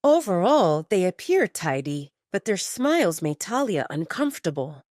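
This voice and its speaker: A woman's voice: on the low side, very animated, moderate pace, darkish tone, dry recording, clean studio sound. A woman reading scripted romance fiction as the narrator of an audio drama.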